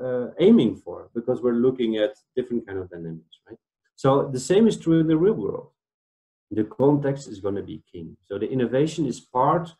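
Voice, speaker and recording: A man's voice: mid-range voice, dark tone, rough recording. A middle-aged man from the Netherlands with a Dutch accent, presenting slides in a webinar over a video call and explaining ideas with frequent "right?" tag questions. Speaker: webinar speaker. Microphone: video call webcam.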